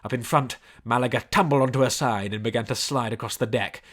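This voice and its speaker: A man reading scripted story narration in a measured storybook delivery. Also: medium pitch, a bit bright. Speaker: audiobook narrator.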